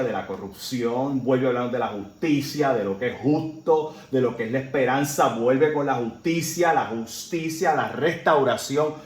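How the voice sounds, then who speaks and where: A man teaching a Sunday Bible class in an earnest way, often checking in with his listeners. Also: medium pitch. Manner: earnest, with frequent "¿verdad?" and "eh" fillers. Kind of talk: Sunday school Bible lesson. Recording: roomy echo, faint background noise.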